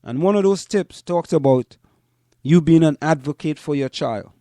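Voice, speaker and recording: A man from Trinidad and Tobago, speaking as a show host in deliberate commentary and repeating phrases for emphasis. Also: a little high, dark tone, no room sound, clean studio sound. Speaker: host of a community parents' talk show. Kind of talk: spoken commentary to listeners.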